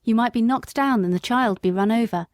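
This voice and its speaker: A woman voicing a kind, steady London cab driver in a storybook reading, speaking plainly, gently and firmly. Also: on the high side, a little fast, dry recording, clean studio sound, lightly acted. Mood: kindly firm.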